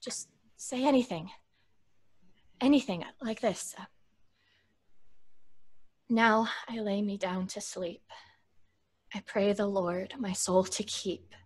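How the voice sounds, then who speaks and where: An actress playing a frightened, fragile woman slipping into hysteria in an old-time radio horror drama, halting and pleading. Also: medium pitch, steady tone, a little mellow, slightly rough. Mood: anxious pleading.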